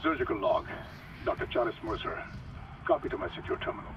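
A noisy audio log of a fanatical surgeon, hushed and reverent. His low voice swings widely as he speaks with pompous, measured calm about his obsessions, like a video game villain. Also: far from the mic, muffled recording. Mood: awed, clinical.

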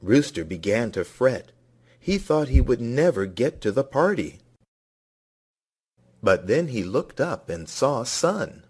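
A man's voice: mid-range voice, big pitch swings, slow, long pauses, a little mellow, breathy edge. A man narrating a scripted children's story as a read-along.

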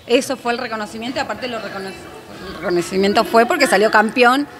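A woman's voice: fairly high pitch, fast talker. An Argentine woman in her thirties, a hairdresser and proud mother, talking at length in an interview at an indoor event. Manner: proud, talkative storytelling. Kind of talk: interview answers. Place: indoor school hall.

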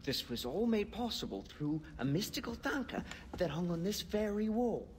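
A man's voice: sits high, sing-song, normal speed, big-room sound, slight background noise. A man playing a goofy, rambling British stoner in a video game, jokey and dramatic. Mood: dreamy storytelling. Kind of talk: video game cutscene acting.

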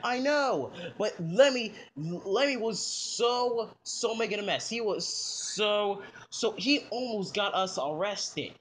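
A hesitant, complaining Koopa mentor acted by a performer, talking slowly and stumbling into repeats. It sounds like a homemade toy skit, with clear noise in the recording. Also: medium pitch, animated, a bit bright. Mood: flustered complaining. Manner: stammering, repeating, halting.

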